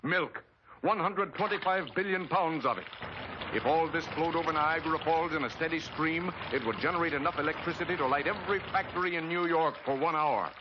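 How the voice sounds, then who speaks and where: A man's voice: fairly high pitch, fairly quick, background noise. An American man delivering an emphatic, dramatic scripted narration in the style of a 1940s wartime propaganda film. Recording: dull vintage 1940s film soundtrack. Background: loud orchestral film score.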